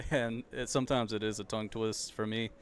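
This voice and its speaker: A man's voice: on the low side, flat delivery, normal speed, slight background noise. A young man with a Southern US accent giving casual, chatty live commentary on a car race, with loose slang. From American South. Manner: chatty, loose, laughs often, says dude and like.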